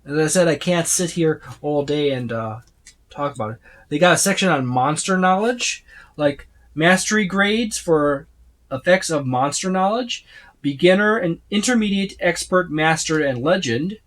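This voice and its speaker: A man's voice: on the high side, lively intonation, normal speed, a bit bright. A man giving a casual, unscripted walkthrough as a reviewer, rambling and listing items as he browses. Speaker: tabletop game book reviewer.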